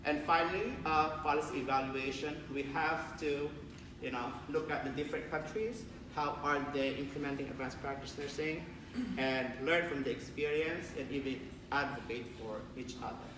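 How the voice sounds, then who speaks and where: A man's voice: on the high side, steady tone, moderate pace. A man giving an academic conference presentation and answering questions, speaking as the presenter. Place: conference room, picked up from a distance. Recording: very echoey room, distant mic, clear background noise.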